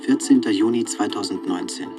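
An actor playing a formal chronicler, reading factual documentary text in a radio play. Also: male voice, on the low side, fairly quick, lightly acted. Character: formal male chronicler reading dated events. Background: music.

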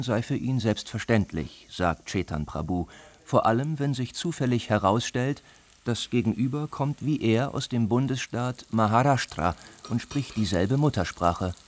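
A man reading a scripted report as a reporter, in a calm, measured way. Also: lowish voice. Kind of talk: scripted narration.

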